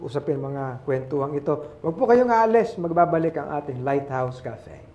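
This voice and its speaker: An older pastor hosts from a small studio set, speaking on a lapel mic. His voice sits a little high for a man. He talks in a fatherly, easygoing way, checking in with "okay?" after each point. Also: animated, moderate pace, darkish tone, lo-fi sound. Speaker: pastor and host. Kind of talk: casual studio talk show chat.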